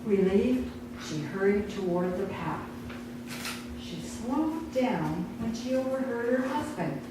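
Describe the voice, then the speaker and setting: Echoey, distant woman slowly reading a story. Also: medium pitch, lively intonation. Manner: deliberate, dramatic storytelling with acted dialogue. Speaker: author reading own story. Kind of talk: expressive short story reading at a live event. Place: indoor awards night event. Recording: echoey room, distant mic, noisy background.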